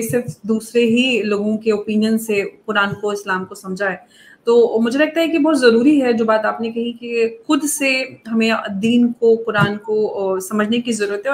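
A woman from India hosting an online interview, asking measured questions with hesitant pauses. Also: on the high side, a little hiss or hum. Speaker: interviewer host. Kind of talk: online video-call interview. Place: indoor room, video call. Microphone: video call.

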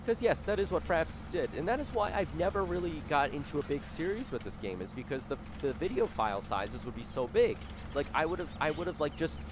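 Hoarse young man rambling over gameplay. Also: fairly high pitch, background noise. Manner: rambling, full of fillers and restarts. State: hoarse, losing his voice from a sore throat. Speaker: gaming YouTuber. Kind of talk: casual gameplay commentary. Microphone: desktop microphone.

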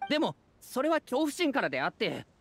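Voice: mid-range voice, big pitch swings, fairly quick, a little hiss or hum. An actor voicing a humble, anxious citizen of a fairytale town in a Japanese video game, speaking formally and earnestly. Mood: hesitant, worried. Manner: formal, deferential, earnest speech.

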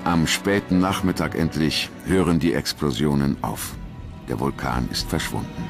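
A man's voice: rumbling low, expressive, normal speed, creaky. A man reading scripted documentary narration. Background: music.

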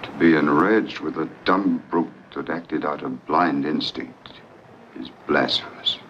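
Deep-voiced, sober ship's first mate. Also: male voice, unhurried. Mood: grave, defiant. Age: middle-aged. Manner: formal, restrained, earnest. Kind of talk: 1950s film drama acting. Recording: dull, noisy 1950s film soundtrack.

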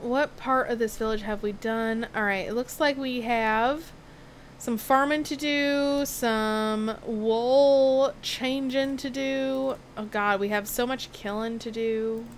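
A young woman chatting through a game stream in a put-on Southern drawl, stretching her words out slowly. Her voice sits high, and her tone is playful and loose. A faint hum of room noise runs behind her. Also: steady tone. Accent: put-on Southern American drawl. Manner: playful, drawn-out words, swears casually. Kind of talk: casual gameplay livestream chat.

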